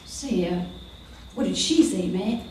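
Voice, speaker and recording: A distant, cavernous recording with music behind. A woman plays a folksy frontier doctor in a radio western, rambling and wry, with a high and animated voice. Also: a little slow, slight background noise, lightly acted. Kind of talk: old-time radio western acting. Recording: distant, very echoey stage recording.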